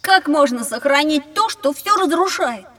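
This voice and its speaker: A high-voiced young boy in a children's audio drama. He is curious and sure of himself, eager to explain how things work and quick to ask questions. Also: animated, sharp tone, long reverb tail. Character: curious, confident, know-it-all young boy.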